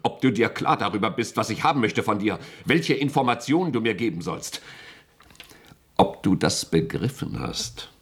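An actor playing an impatient, domineering state security chief in a radio play, speaking in an insistent, irritable manner. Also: male voice, fairly low pitch, expressive, normal speed, fairly bright tone, breathy edge, heavily acted. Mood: exasperated. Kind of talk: German radio play acting.

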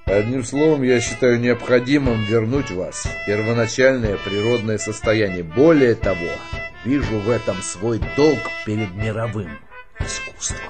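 An actor playing an older army colonel in a radio play, ironic and mock-courteous, with a theatrically polite manner. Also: male voice, on the low side, sing-song, moderate pace, over music. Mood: pompous, sardonic.

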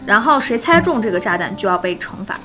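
A woman's voice: medium pitch, sing-song, quick pace. A woman hosting a playful live-stream chat, explaining a game in a giggly, teasing way. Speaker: host of a live show, running a guessing game. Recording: music playing behind the voice. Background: background music.

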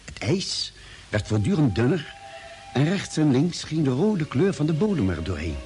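An actor playing a thoughtful space expedition doctor in a radio drama, telling the story in a measured, dramatic way. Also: male voice, fairly high pitch, very animated, a little mellow. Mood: solemn, suspenseful. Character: thoughtful male doctor narrating a Mars expedition. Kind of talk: radio drama narration and dialogue. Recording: old radio drama, music behind.

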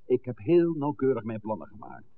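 An actor playing a smooth, self-assured, scheming male village priest with a formal, suave manner in a Dutch radio drama on an old recording. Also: medium pitch, huge pitch swings, brisk. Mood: sly, confident. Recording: narrow-band, noisy old 1960s radio recording.

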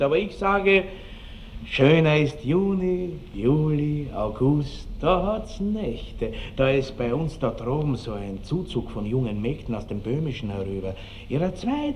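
Boastful randy old-school austrian baron, pompous, savours every word, slightly noisy old radio play. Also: male voice, a little high. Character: boastful lecherous country baron, pompous and earthy. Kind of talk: classic radio drama acting. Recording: noisy old 1960s radio drama recording.

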